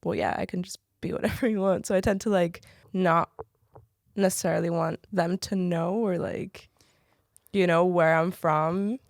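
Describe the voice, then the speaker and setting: A woman's voice: on the low side, moderate pace, gravelly, dry recording, studio quality. A young woman from the Czech Republic, a native French speaker, chatting casually as a podcast guest and telling stories with lots of "like.". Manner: chatty, heavy "like" filler, animated storytelling. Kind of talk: casual interview chat.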